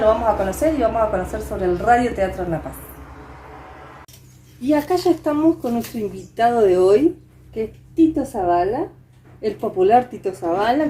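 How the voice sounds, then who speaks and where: A woman's voice: on the high side, mellow. A woman with an Argentine accent from Entre Ríos, hosting a conversational interview about local history. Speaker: interviewer, host. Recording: roomy echo, clear background noise.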